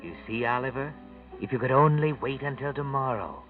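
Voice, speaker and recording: An actor playing an ominous, taunting, all-knowing narrator of a 1940s radio suspense drama. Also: male voice, mid-range voice, a little fast, close to an everyday voice. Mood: sardonic menace. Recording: tinny distant old radio recording, music behind.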